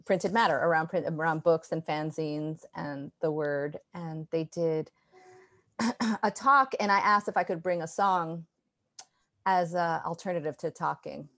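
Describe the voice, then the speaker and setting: Ny woman chatting on a video call, animated, lots of laughs, lowish voice. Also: unhurried. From New York, USA. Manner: animated, laughing often, restarts. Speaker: guest artist. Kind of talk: casual interview chat.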